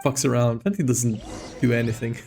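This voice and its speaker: A man's voice: mid-range voice, fairly quick, vocal fry, warm tone. A man giving casual live game commentary as a streamer, in a rambling, joking, informal way.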